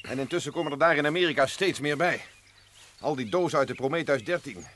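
A man's voice: a little high, quick pace, a bit bright, slight background noise, lightly acted. An actor playing a skeptical male companion in an old radio play. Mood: serious. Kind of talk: vintage radio play acting.

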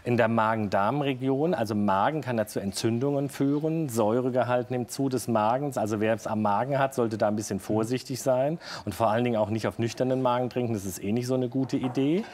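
A man in his forties, a doctor, calmly explaining health questions to a host in a television studio, recorded on a lapel mic. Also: mid-range voice, fast talker, few pauses. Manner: calm, explanatory, plain-spoken. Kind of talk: studio talk show answering viewer questions. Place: TV studio set.

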